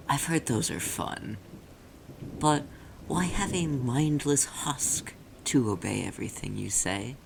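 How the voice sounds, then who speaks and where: A man playing a silky, possessive demon in an ASMR roleplay, speaking deliberately and teasingly in a low, gravelly voice. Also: slight background noise, full character voice, poor audio. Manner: slow, deliberate, teasing, theatrical. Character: possessive, silky demon claiming an owned soul.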